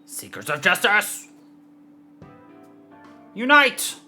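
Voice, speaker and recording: A woman's voice: medium pitch, sing-song, drawn-out words, over music. A woman streaming a game playthrough, reading along in a playful, mock-dramatic, theatrical way.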